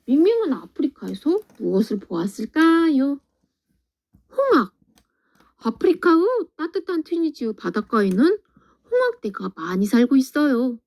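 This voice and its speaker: A woman's voice: sits high, big pitch swings, normal speed. Sing-song woman reading to kids. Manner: gentle, sing-song, questioning the listener. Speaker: teacher reading picture books. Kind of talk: reading a children's picture book aloud.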